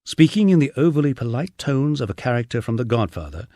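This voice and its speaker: A man's voice: mid-range voice, sing-song, fast talker, mellow, dry recording, clean studio sound. A man narrating a humorous memoir as a scripted audiobook reading.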